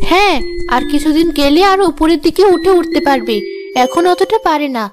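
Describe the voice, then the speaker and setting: Very high-pitched village mother, acted by a woman. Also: fairly quick, music in the background, natural voice. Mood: caring. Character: woodcutter's wife, anxious, devoted mother. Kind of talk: fairy-tale cartoon dubbing.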